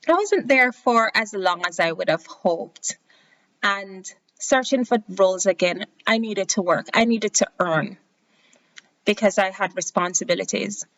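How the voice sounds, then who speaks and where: A young woman with a Jamaican accent talks through her own story in a relaxed, chatty podcast style. Her voice sits at a middle pitch, and she livens up when she acts out conversations with other people. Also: low-quality recording. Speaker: podcast host. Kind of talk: casual personal storytelling.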